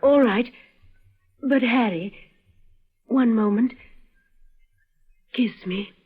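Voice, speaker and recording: Husky, earnest radio-drama sweetheart. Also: female voice, fairly high pitch, heavily acted. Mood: tender. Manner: deliberate, solemn. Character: earnest young woman in love, principled, solemn. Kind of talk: 1950s radio drama acting. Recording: muffled old radio recording, music behind.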